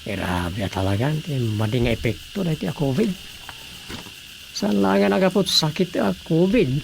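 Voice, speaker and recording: A man's voice: on the high side, animated, moderate pace, vocal fry. A man playing a gossiping, grumbling villager in a radio drama. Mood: complaining. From Philippines. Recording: hissy noisy radio recording.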